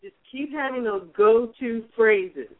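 A man's voice: extremely high pitch, takes it slowly. A man hosting a radio advice show, coaching in a strong, projecting voice with frequent restarts. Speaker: radio show host, mentor. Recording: phone-like narrow band.

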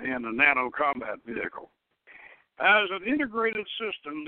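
Guy reading a satirical story out loud, kinda high voice, halting, thin phone sound. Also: no echo. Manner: halting, stumbles over the text. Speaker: host reading own novel aloud. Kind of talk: dramatic reading of fiction. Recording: thin, dry, phone-like radio line.

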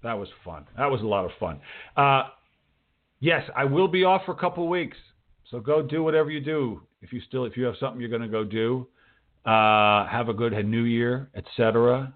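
A man hosts a casual interview show in an informal, encouraging manner. His voice sits at a medium pitch and comes through narrow, like a telephone call. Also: a little fast. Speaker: talk show host, writing teacher. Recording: thin phone-like radio call audio.

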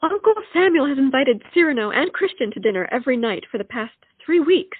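Lady acting genteel coy young colonial miss, high swoopy voice, stage comedy, phone-quality audio. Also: expressive, fairly quick. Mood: coy, protesting.

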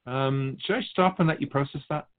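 A man playing a patient, lofty spirit guide who teaches in measured, formal phrases in a scripted audio drama. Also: medium pitch, narrow, tinny audio, lightly acted. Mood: patient, explanatory. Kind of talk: scripted dialogue in a fiction podcast.

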